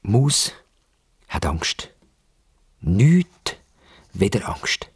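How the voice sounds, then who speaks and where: Animated Swiss German male storyteller. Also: low voice, very slow, slight background noise. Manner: expressive, suspenseful, with repetitions for effect. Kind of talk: dramatic children's story narration.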